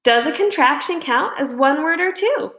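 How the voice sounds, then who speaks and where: Woman voicing a high-pitched, scornful bossy schoolgirl. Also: lively intonation, moderate pace. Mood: brisk, challenging. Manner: sharp, challenging. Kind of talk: acted children's storybook reading. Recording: roomy indoor echo.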